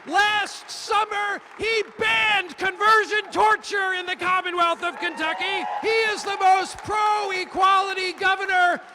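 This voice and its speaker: A man as rally emcee, shouting a hyped introduction that builds the crowd up. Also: extremely high pitch, steady tone, measured pace, few pauses. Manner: hyping, building to a name. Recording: loud crowd noise.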